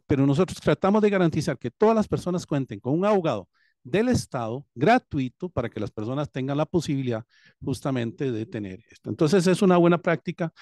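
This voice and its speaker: A man's voice: a little high, darkish tone, dry recording, clean studio sound. A man from Costa Rica with a Costa Rican accent, who directs a public defense office, explaining his work earnestly with frequent hesitations. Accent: Costa Rican Spanish. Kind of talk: seminar audience comment.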